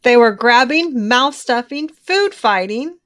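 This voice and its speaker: A woman reading a children's storybook aloud in an expressive, emphatic way, drawing out key words. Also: fairly high pitch, slow. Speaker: children's book reader.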